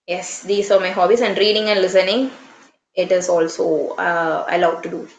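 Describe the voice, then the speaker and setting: A woman's voice: lowish voice, steady tone, moderate pace, slight background noise. Earnest Tamil-accented woman, roomy sound. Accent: Tamil Indian English. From Tamil Nadu, India. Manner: earnest, fillers and restarts, reflective. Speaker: English trainer. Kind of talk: casual conversation practice. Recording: roomy echo.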